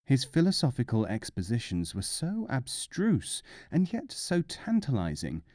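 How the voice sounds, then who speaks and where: A man's voice: lowish voice, mellow, no room sound, clean studio sound. A man reading as an audiobook narrator, in scripted storybook narration.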